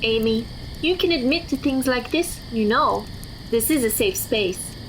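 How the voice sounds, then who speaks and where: A woman playing a gently supportive girl in an audio drama. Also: on the high side, animated, unhurried, slight background noise, low-quality recording. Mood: reassuring.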